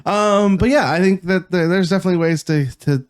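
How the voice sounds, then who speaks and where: A man from Canada, co-hosting a podcast in a halting casual chat, often laughing. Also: high-pitched, smooth, no echo, studio quality. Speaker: podcast co-host.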